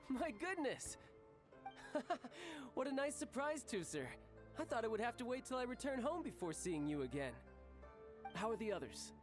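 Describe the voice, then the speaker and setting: A man voicing a charming young man who is a doting, protective older brother, speaking smoothly and affectionately. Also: extremely high pitch. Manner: smooth, affectionate, gently scolding.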